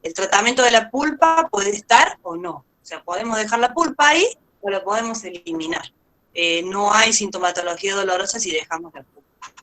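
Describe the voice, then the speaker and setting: Bright-voiced woman explaining in a webinar. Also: medium pitch, animated, fairly quick, poor audio. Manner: explanatory, with frequent filler and repeated words. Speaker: oral surgery lecturer and webinar co-host. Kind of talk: answering questions in an online lecture. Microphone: video call.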